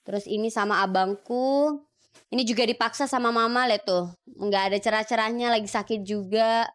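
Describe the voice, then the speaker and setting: A woman in her thirties from Medan, speaking with a Medan Indonesian accent. She chats casually and animatedly as an interview guest. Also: moderate pace. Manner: chatty, animated, jumps pitch when excited. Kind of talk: casual chat.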